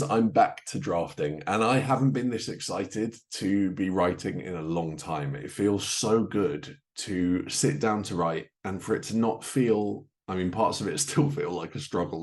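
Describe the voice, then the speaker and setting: A man's voice: low-pitched, darkish tone. A man hosting a podcast in an informal, self-deprecating way. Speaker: podcast host.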